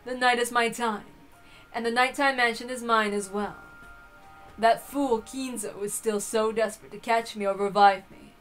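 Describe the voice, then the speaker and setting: A woman's voice: fairly high pitch, fairly even intonation, normal speed, fairly bright tone, faint music behind, pitched below the performer's own voice, natural voice. A woman voicing a haughty elder-sister witch with an arrogant, formal way of speaking in a visual novel reading. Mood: proud, scornful. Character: haughty, self-proclaimed elder-sister golden witch, female.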